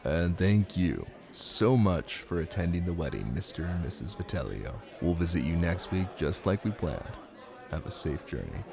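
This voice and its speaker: A man's voice: big pitch swings, normal speed, vocal fry, a little mellow, full character voice. A man playing a brooding, possessive young mafia heir and new husband in an intimate roleplay audio drama, blunt and commanding yet protective. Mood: polite. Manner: blunt, commanding, swearing, low-key intimate delivery.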